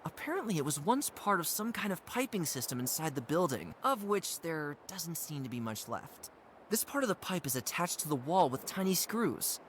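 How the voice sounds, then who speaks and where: A young actor voicing an earnest, puzzled animal adventurer in a cartoon game, wondering aloud with expressive observations. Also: medium pitch, moderate pace, a little hiss or hum. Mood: curious.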